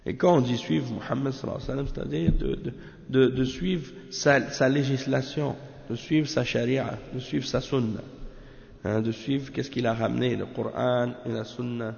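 A man with a cold, teaching a religious commentary and explaining it in halting, restarting French. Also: mid-range voice, fairly even intonation, creaky, a little mellow. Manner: halting, many restarts and fillers. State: has a cold. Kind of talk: reading Arabic text, then explaining in French. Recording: muffled roomy lo-fi recording.

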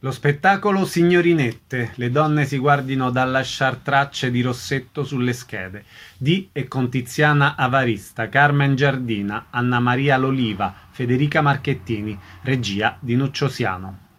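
A man, the radio show's host, reading a steady, formal scripted announcement in a studio. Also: mid-range voice, a little slow.

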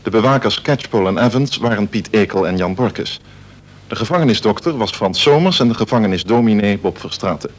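Lowish-voiced male radio announcer. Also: expressive, fairly quick. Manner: formal, orderly. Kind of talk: scripted credits announcement.

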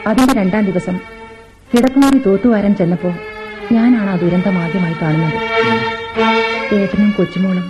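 Radio drama housemaid, sorrowful and embittered, played by a woman, mid-pitched, emotive literary delivery over prominent music. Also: normal speed, pauses often, lightly acted. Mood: somber. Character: sorrowful, bitter housemaid confiding her ruined life. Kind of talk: Malayalam radio drama acting.

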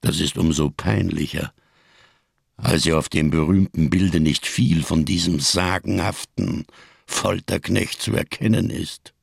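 An actor in a radio crime drama playing a giant, scarred, world-weary survivor who speaks in grand, bitterly ironic phrases. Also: male voice, sits low, fairly even intonation, gravelly, husky, no echo, clean studio sound, exaggerated voice. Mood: sardonic, grave. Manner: grandiose, bitterly ironic, slow rhetorical delivery.

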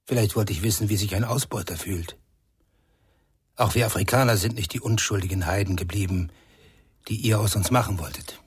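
A man acting in a German radio crime drama, playing a tall gaunt African man, calm, enigmatic and faintly mocking. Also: lowish voice, a little fast, husky, dry recording, studio quality. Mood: dryly ironic.

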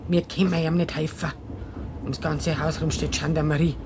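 Actress doing a scheming bavarian mom, middle-aged, lowish quarrelsome voice, vehicle rumble behind. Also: female voice, little pitch movement, moderate pace, natural voice. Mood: cold, scolding. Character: hard, scheming, quarrelsome mother of a grown daughter. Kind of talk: radio drama dialogue in dialect.

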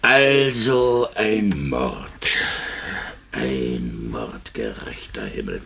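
An actor playing a blustering, flustered Russian district police chief in a 1950s German radio play. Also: male voice, extremely low pitch, expressive, extremely slow, vocal fry, noisy background, full character voice. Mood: secretive, pompous. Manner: blustering, officious, muttering to himself.

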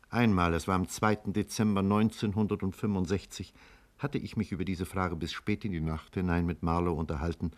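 Low-voiced, sober male narrator. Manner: sober, formal, literary delivery. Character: measured male scientist recounting a past catastrophe. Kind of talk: 1950s German radio drama narration.

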